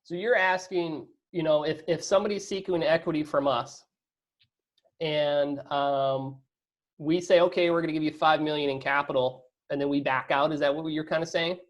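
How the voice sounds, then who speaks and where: A man's voice: fairly high pitch, steady tone, moderate pace. A man answering as a guest investor in a conversational business interview, talking emphatically and often checking in with rhetorical questions.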